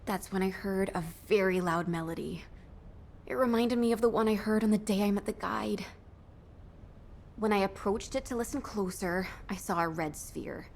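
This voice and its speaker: A woman playing a dreamy, excitable young music-obsessed character in a video game. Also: mid-range voice, normal speed, gravelly, a little hiss or hum, natural voice. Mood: dreamy, wistful. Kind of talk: scripted game dialogue.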